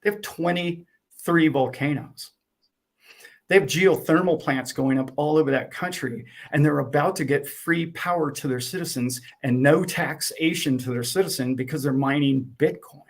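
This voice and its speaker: A man's voice: on the high side, moderate pace. A man explaining to a host with animation, acting out little exchanges as he goes. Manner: animated, acts out dialogue. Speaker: guest.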